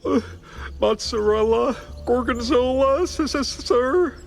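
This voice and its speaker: A man voicing a timid, jittery cartoon high-wire performer, polite and halting, with a nervous stammer. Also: vocal fry, faint music behind, full character voice. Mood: anxious stammering. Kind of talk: cartoon game voice acting.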